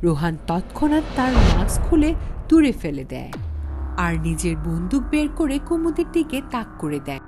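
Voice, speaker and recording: A woman's voice: lowish voice, big pitch swings, normal speed, music in the background. A woman narrating a scripted horror story in a dramatic storytelling style.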